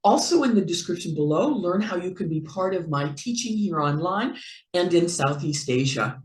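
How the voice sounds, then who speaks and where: A woman reading a picture book aloud to young children in an expressive, deliberate, playful way, as a teacher would. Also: on the low side, roomy sound. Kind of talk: slow animated read-aloud for young children.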